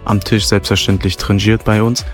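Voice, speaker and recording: A man's voice: on the low side, flat delivery, moderate pace, faint music behind. A man, son of a restaurant owner, talking in a casual radio interview, fluent and descriptive with frequent fillers. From Germany. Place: restaurant terrace.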